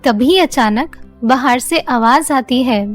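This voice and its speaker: A woman's voice: sits high, slow pace, soft background music. A woman storyteller reading a scripted children's story as narrator.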